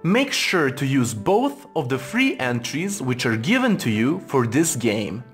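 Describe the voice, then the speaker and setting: A man delivers a formal, scripted tutorial voice-over at a middling pitch. His intonation moves up and down in a lively way as he gives measured instructions, with music playing behind him. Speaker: tutorial narrator. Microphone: voice-over for screen recording.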